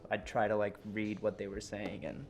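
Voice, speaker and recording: A male student from India talking quickly and casually at a roundtable. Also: fairly low pitch, level tone, faint music behind.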